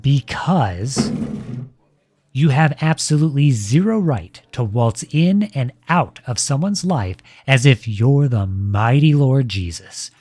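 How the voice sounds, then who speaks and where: A man playing a protective boyfriend in a scripted audio roleplay, with measured, formal, pointed phrasing, polite but cutting. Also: medium pitch, animated, darkish tone, no room sound. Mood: indignant, scornful.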